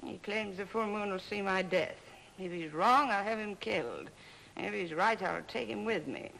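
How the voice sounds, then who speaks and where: An actress playing an imperious, dying old Roman matriarch in a haughty, commanding manner, in 1930s film style. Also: female voice, mid-range voice, animated, moderate pace, vocal fry, a bit bright, a little hiss or hum, rough recording. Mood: grave, imperious. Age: older. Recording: vintage 1930s film soundtrack.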